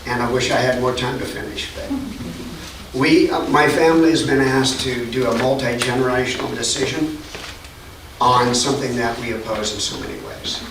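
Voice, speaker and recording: A man's voice: mid-range voice, steady tone, normal speed, noisy background. A man giving a wry, story-telling public comment at a county hearing. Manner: storytelling, wry, reads a quoted letter aloud. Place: county meeting room. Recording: echoey room, distant mic.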